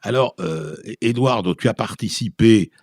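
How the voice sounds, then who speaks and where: A man hosting a conversational radio interview, putting his questions in a halting, reflective way. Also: lowish voice, a little mellow, no echo, clean studio sound.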